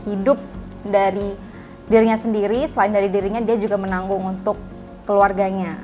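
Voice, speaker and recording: A woman's voice: medium pitch, flat delivery, normal speed, dark tone, soft background music. A woman in her early twenties giving casual answers in an interview. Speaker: legal compliance worker being interviewed.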